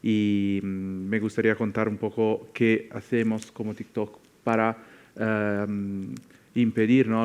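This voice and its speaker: A man from Italy with an Italian accent, speaking a second language as a panelist, explaining things off the cuff and often pausing to search for words. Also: on the low side, clear, resonant tone. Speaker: tech company representative on a panel.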